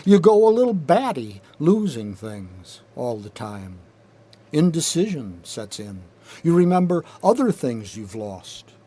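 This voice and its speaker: A man with a slightly high-set voice performs spoken-word poetry in a studio. He takes his time, reading very slowly and deliberately. The phrasing is rhythmic and dramatic, with measured pauses between short lines. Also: sing-song, dark tone, husky. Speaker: poet reading own work. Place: recording studio.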